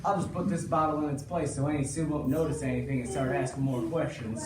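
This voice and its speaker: A man's voice: medium pitch, steady tone, fast, close to an everyday voice. A man playing a sneaky cowboy, distant and noisy. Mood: sly, secretive. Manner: conspiratorial asides to the audience. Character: sneaky, suspicious cowboy in western melodrama. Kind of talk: theatrical stage melodrama acting. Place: indoor small school stage before an audience. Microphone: far camera. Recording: distant echoey stage, loud room noise.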